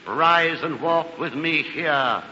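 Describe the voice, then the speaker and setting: An actor playing a solemn, commanding ghost in a 1940s radio drama, with a grave, drawn-out delivery. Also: male voice, on the high side, pushed and bright, slight background noise, full character voice. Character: solemn, commanding male ghost showing the past. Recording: dull old radio, long reverb, faint music.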